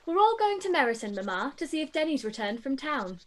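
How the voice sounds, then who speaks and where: A woman's voice: high-pitched, quick pace. Woman playing a swooping, brash teenage girl. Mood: cheeky. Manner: excitable, cheeky. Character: brash, giggly teenage girl, boy-mad youngest sister. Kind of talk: period radio play acting.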